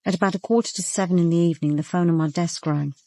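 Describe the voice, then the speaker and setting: An actress plays a British female police detective in a radio drama. Her voice is fairly low and soft-edged, close and dry, with a brisk, businesslike delivery. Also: fairly even intonation, no room sound, lightly acted.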